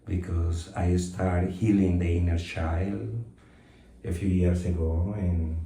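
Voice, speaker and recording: A man in his fifties from Colombia speaks in a very low voice, thoughtful and unhurried, often pausing on fillers and restarting his sentences. He sits a little back from the mic, and the room around him sounds echoey. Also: little pitch movement, vocal fry, mellow. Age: 50s. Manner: reflective, with frequent fillers and restarts. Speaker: guest. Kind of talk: casual interview. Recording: roomy echo, mic at some distance.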